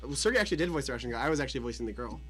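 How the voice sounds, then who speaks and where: A young man chats casually and jokingly as a radio co-host. His voice sits at a medium pitch, and he talks quickly. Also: lively intonation, a bit bright, faint music behind. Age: early 20s. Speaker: student radio show co-host.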